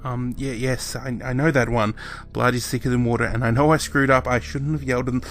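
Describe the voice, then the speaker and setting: A man's voice: mid-range voice, unhurried, bright, forceful tone, soft background music. A man playing a young, hot-tempered, lovestruck scarecrow in an emotional audio roleplay performance. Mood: scared, stammering. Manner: emotional, profane, stammering when cowed.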